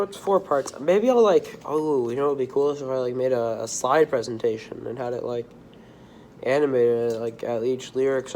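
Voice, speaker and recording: A teenage boy chatting on his own channel, slightly hoarse and sniffly from a cold. He rambles loosely from one thing to the next, restarting his sentences often. His pitch sits in an ordinary middle range, with a faint hum of room noise behind him. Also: darkish tone. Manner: rambling, drifting between topics, frequent restarts. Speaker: video creator. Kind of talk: casual rambling monologue.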